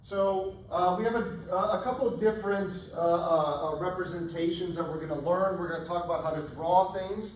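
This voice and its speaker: Hoarse male chemistry lecturer in echoey hall. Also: sits high, normal speed, hardly any pauses. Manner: casual, checks in often with "right?". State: hoarse, losing his voice. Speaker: chemistry instructor. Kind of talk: classroom lecture. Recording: echoey lecture hall, distant mic, faint room noise.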